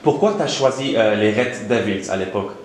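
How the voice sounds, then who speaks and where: Lowish-voiced male interviewer in an echoey room. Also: lively intonation, moderate pace. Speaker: sports journalist interviewer. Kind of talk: informal interview questioning. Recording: echoey room, faint background noise.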